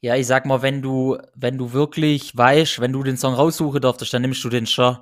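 A man's voice: mid-range voice, fairly even intonation, normal speed. A man with a regional German dialect, hosting a podcast in an easygoing, hesitant way with loose phrasing. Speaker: podcast host. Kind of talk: casual chat.

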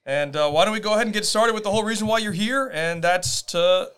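A man's voice: high-pitched, fast, fairly bright tone, clean tone. A man hosting a podcast, upbeat and friendly with slangy chat. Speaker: podcast host. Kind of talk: casual podcast interview.

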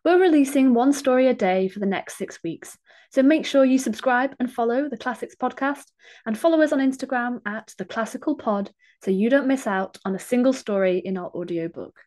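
A woman with a fairly high voice hosts a podcast. She reads a prepared introduction from a script. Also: brisk, darkish tone. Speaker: podcast host.

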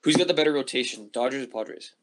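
A man's voice: mid-range voice, fast, low-quality recording. Upbeat man on a podcast. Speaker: podcast creator and co-host. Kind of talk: casual sports chat.

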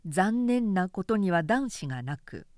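A woman reading a literary short story aloud as a narrator, with measured, expressive storytelling delivery. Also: mid-range voice, dry recording, studio quality. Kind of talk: scripted literary narration, audiobook recitation.

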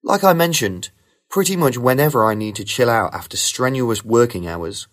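A man reading rehearsed model answers for a speaking test in a smooth, even way. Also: medium pitch, normal speed. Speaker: sample test candidate.

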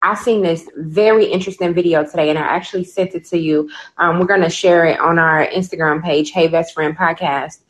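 A woman from Chicago co-hosts a casual podcast, her voice sitting a little low. She tells stories in an earnest, animated way, with easy fillers along the way. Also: fairly quick, hardly any pauses, poor audio. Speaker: podcast co-host. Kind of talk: casual chat.

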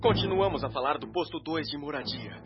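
An actor plays an anxious male announcer sending out a grave appeal over the airwaves in a radio drama. His voice sits fairly high and speaks with solemn, oratorical fervour. The sound is narrow and dull, with music playing loudly behind him. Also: brisk, close to an everyday voice. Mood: grave warning. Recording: band-limited radio sound under loud music. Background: loud music.

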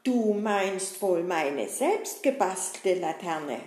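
A good-natured, always hungry puppet boy fusses and makes excuses, played by a woman. He talks slowly in a mid-range voice. The room gives it a clear echo. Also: fairly low pitch, animated, darkish tone. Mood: chatty. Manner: chatty, fussy, self-excusing. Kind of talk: puppet-show acting. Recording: roomy echo.

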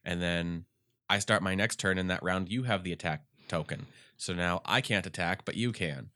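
A deep-voiced man talks games in a rambling, animated way, his nose stuffed up. His tone is bright and crisp. The recording is dry and close. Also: moderate pace, no room sound. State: congested. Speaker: podcast co-host. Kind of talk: casual gaming chat.

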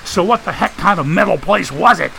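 Man putting on a high-voiced sarcastic wisecracker. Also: expressive, fairly quick, creaky, bright, forceful tone, slight background noise, exaggerated voice. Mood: mocking, exasperated. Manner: mangled big words, theatrical sarcasm. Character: wisecracking, mock-pompous man, folksy and sarcastic.

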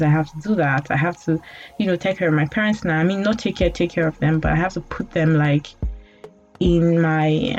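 A woman's voice: low voice, a little mellow. A woman in her late twenties with a Nigerian accent, hosting a podcast as a rambling, self-mocking monologue broken up by frequent laughs. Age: late 20s. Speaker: podcast host. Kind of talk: casual storytelling monologue. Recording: dull lo-fi audio with faint music.

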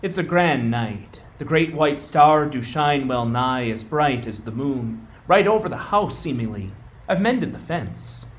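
A man playing a plain, modest rustic carpenter in an amateur radio play, with an unhurried, folksy manner. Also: mid-range voice, animated, close to an everyday voice. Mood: humble, content.

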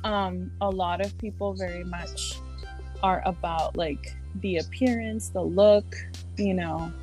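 A young adult woman from San Diego, a social worker talking casually as a podcast guest, with soft laughs and many fillers. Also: lowish voice, steady tone, takes it slowly, faint music behind. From San Diego, California. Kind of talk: casual interview chat.

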